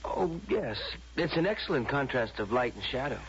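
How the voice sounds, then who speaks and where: A man's voice: medium pitch, expressive, normal speed, a little hiss or hum, close to an everyday voice. A man playing an idle, lovestruck man of a future utopia in a radio drama, speaking in a languid, earnest, polite way. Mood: wistful. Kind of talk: 1970s radio drama acting. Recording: dull vintage radio drama recording.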